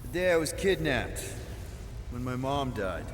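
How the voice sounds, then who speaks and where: A man's voice: medium pitch, very animated, measured pace, in a large hall, slight background noise. An actor playing an easygoing, wisecracking young male space adventurer in a video game, casual and boyish. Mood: wry, focused.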